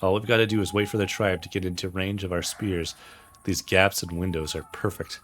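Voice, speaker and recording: A man's voice: low-pitched, level tone, brisk, fairly bright tone, slight background noise. A man voicing a practical, blunt, teasing male hunter in a visual novel, speaking matter-of-factly. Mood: practical, confident. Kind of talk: visual novel character read aloud.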